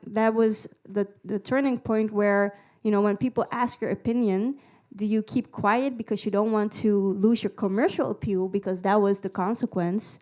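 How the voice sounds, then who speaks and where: Woman in her thirties, from the Netherlands, panel talk, handheld microphone, medium pitch. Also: a little mellow. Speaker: musician and activist, panelist. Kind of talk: panel talk, personal account. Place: small screening room with seated panel, indoors. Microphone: handheld microphone held close.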